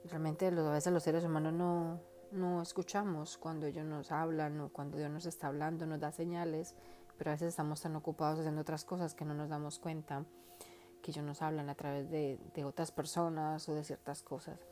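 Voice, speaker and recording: A woman's voice: low-pitched, flat delivery, brisk, dark tone, a little hiss or hum. A woman hosting her own podcast in a casual, earnest and heartfelt monologue, rambling with lots of fillers. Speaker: podcast host.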